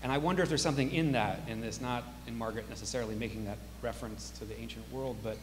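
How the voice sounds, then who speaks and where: Male moderator, mid-pitched and brisk, intellectual and reflective, live in an echoey hall. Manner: reflective, intellectual, appreciative of speakers. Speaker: moderator of an audience discussion. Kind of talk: live discussion moderating, thoughtful and off the cuff. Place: large auditorium with a balcony. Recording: echoey reverberant hall, faint hum and noise.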